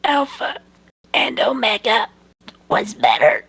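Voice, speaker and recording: A man voicing a short, goofy, squeaky man in a cartoon fan story. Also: big pitch swings, slow, creaky, fairly bright tone, husky, heavily acted. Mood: squeaky, strained.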